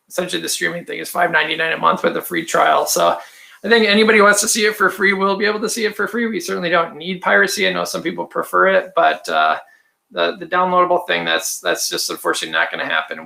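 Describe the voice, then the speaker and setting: Raspy, gravelly man. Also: a little high, sharp tone. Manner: rambling and chatty, frequent ums and throat clearing. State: raspy from allergies or stress. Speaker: filmmaker hosting a livestream. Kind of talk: casual livestream chat reading viewer comments.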